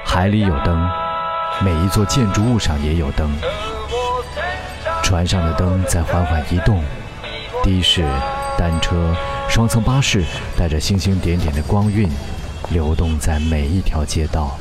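A low-voiced, weary male singer in a radio drama, wistful and understated. He speaks in a measured, introspective way, with music clearly playing underneath. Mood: wistful, reflective. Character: weary, wistful male pop singer recalling his past. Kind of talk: radio drama acting with reflective narration. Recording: music bed behind the voice.

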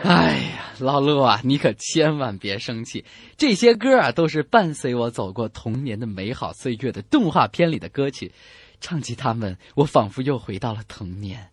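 A woman's voice: very deep voice, normal speed, slightly rough, no echo. A woman co-hosting a comedy radio show, telling scripted jokes with playful, teasing banter. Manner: animated, teasing, breaks into song.

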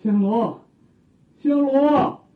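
Husky, proud old scholar. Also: male voice, very high-pitched, extremely slow, heavily acted. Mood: joyful, excited. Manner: theatrical, archaic literary phrasing, exclamatory. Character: elderly proud scholar father, exiled ex-official, affectionate. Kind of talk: theatrical Chinese radio drama acting. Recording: muffled, narrow phone-like sound.

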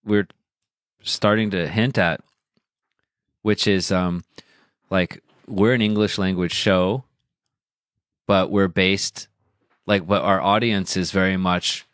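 A man's voice: sits low, fairly even intonation, slow pace, pauses often, a bit bright, smooth, no echo, clean studio sound. A man co-hosting a podcast in casual chat, talking in a rambling way full of "like" and slipping into Mandarin. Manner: rambling, filler-heavy, switches into Mandarin. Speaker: podcast co-host.

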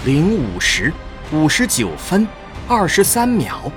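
A man reading scripted narration for a science fiction audio drama in a deliberate, dramatic way. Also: on the high side, huge pitch swings, faint music behind.

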